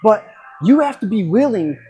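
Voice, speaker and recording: A podcast host and coach giving a casual motivational talk, speaking reflectively and emphatically, with pauses and repeated phrases. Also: mid-range voice, expressive, mellow.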